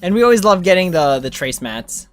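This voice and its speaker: A woman's voice: fairly low pitch, sing-song, brisk. A woman streamer chatting casually and in slang while she plays a game. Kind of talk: casual livestream gameplay chat.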